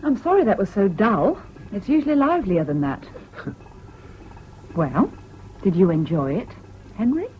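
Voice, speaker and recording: An actress playing a frank, bossy but affectionate young British woman in a radio drama, brisk and teasing. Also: mid-range voice, sing-song, natural voice. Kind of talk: BBC radio drama acting. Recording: dull lo-fi old radio broadcast, hissy.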